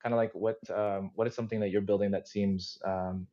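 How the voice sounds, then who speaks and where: A man's voice: lowish voice, little pitch movement, a little fast, warm tone. A man hosting a podcast interview, chatting casually and rambling with lots of fillers and restarts. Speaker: podcast host. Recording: dull muffled lo-fi audio.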